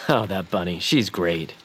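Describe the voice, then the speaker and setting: Woman as a vain young male bird, swooping pitch. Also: takes it slowly, slight background noise, full character voice. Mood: cool, cocky. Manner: hesitant, expressive, affectedly casual. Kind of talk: cartoonish audio fiction acting.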